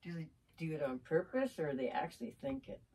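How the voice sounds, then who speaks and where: A middle-aged woman with a deep voice, talking frankly and bluntly with her partner in a casual chat, swearing freely. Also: sing-song, fairly quick, darkish tone, breathy edge. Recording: distant mic.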